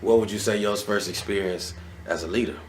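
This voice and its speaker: A man hosting a casual interview, talking in an animated way and building his questions out loud. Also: lowish voice, brisk, a little hiss or hum. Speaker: interviewer, show host.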